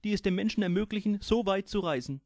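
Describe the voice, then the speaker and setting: Guy doing a stuffy know-it-all space navigator, high and loud, preachy. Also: fast talker, no room sound, studio quality. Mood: lecturing. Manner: lecturing, solemn. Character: pompous, gloomy male navigator, talks down to crew.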